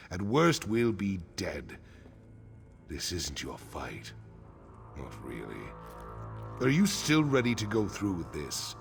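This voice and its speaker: A grim orc clan chief for a fantasy video game. His low, gravelly voice is solemn and gruff, rising and falling with emphatic resolve over faint music. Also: a bit bright, full character voice.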